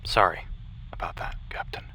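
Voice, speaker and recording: A man playing a determined, weary military major at mission control in a sci-fi audio drama, intense and commanding, sometimes dropping to a hushed tone. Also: moderate pace, whispered, poor audio. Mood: weary, compassionate. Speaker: voice actor in audio roleplay.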